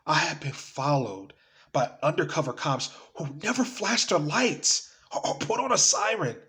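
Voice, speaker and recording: Young American man, played by a male actor, bitter and frank stage monologue, widely varied pitch, emphatic pauses. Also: natural voice. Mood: agitated. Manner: emphatic, conversational, swearing, with deliberate pauses. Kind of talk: dramatic theatrical audition monologue.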